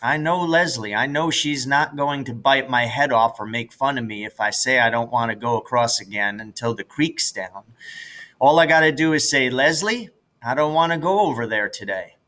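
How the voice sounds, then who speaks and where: Man playing a bright-voiced, folksy country boy. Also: medium pitch, normal speed, close to an everyday voice. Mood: anxious rehearsing. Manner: folksy, colloquial, exasperated. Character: grumbling, teasing country boy, anxious but fond.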